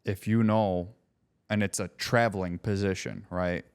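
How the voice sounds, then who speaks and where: A man's voice: low-pitched, steady tone, a little slow, a little mellow, clear, resonant tone. A man co-hosting a podcast in casual chat, talking loosely and sometimes searching for words; Polish was his first language. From United States. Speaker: podcast co-host.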